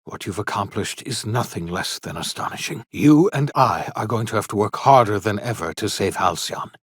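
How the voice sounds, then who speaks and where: An actor playing an elderly, guilt-ridden rogue scientist in a video game, theatrical and emphatic with a husky, breathy voice. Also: male voice, fairly low pitch, expressive, normal speed. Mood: relieved, grateful. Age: older. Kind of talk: dramatic video game voice acting.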